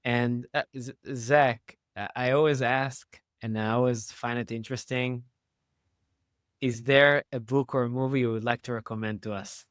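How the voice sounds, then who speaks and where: Podcast host guy, mid pitch, upbeat and gushing, dry close mic. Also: fairly even intonation, moderate pace, a bit bright, no room sound. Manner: upbeat, effusive praise. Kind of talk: interview hosting.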